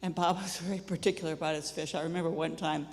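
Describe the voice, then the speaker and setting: An older woman sharing a memory of a friend at a memorial service, halting, with many fillers and restarts. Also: low-pitched, steady tone. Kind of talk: informal memorial remembrance. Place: church sanctuary. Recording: echoey church with long reverb and faint noise.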